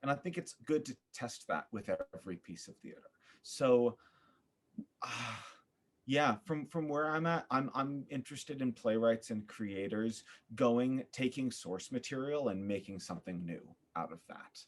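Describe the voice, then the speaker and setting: A man giving a thoughtful interview as a podcast guest, searching for his words with an earnest, halting manner. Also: medium pitch, animated. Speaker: podcast guest, theater artist.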